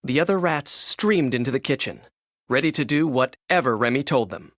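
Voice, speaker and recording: A man narrating a children's read-along storybook in an expressive, scripted storytelling manner. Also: medium pitch, normal speed, dry recording, studio quality.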